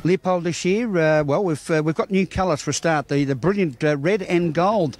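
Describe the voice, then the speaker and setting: A man's voice: on the high side, normal speed. An Australian man working as a sports reporter, interviewing for local television in formal, wordy broadcast phrasing.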